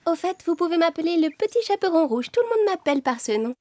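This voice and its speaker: A woman's voice: extremely high pitch, very animated, fast talker, darkish tone, no echo, clean studio sound. A woman voicing a sweet, trusting little girl in a children's cartoon fairy tale, speaking in an earnest, polite, childlike way.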